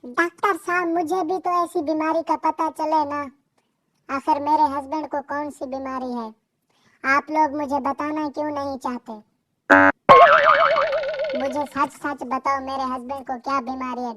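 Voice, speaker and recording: A woman's voice: high voice, flat delivery, unhurried, a bit bright. A woman playing a suspicious, insistent young wife and protective mother in a cartoon. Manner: questioning, insistent. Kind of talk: cartoon dialogue.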